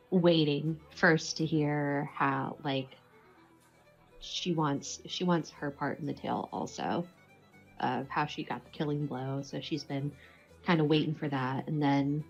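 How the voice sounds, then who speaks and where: Low, husky woman player, unhurried. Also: highs cut off. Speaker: player in tabletop game. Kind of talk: casual, hesitant character explanation.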